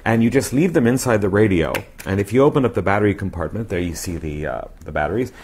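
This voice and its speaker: Low-pitched middle-aged man reviewing gear. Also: quick pace, darkish tone, slight background noise. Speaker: radio reviewer. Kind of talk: casual spoken product review to camera. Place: living room, indoors. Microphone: clip-on lapel mic.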